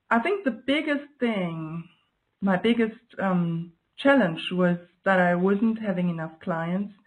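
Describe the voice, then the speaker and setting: A woman's voice: medium pitch, darkish tone, poor audio. A woman from Germany, a native German speaker with a German accent, speaks as an interview guest in a reflective, casual way, often searching for her words. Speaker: guest, client giving a testimonial.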